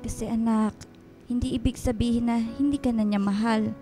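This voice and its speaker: An actress playing a gentle, coaxing elderly housekeeper with a Filipino accent in a radio drama. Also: female voice, fairly high pitch, fairly even intonation, normal speed, soft background music, lightly acted. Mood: gentle pleading. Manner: coaxing, motherly, hesitant. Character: gentle elderly housekeeper, motherly, pleading, flustered peacemaker.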